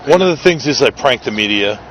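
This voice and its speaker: A man's voice: medium pitch, lively intonation, brisk, a bit bright, slight background noise, poor audio. Chatty New York man outdoors. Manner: chatty, joking, curious questioner. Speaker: street videographer. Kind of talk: casual street conversation. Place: outdoors in a city park.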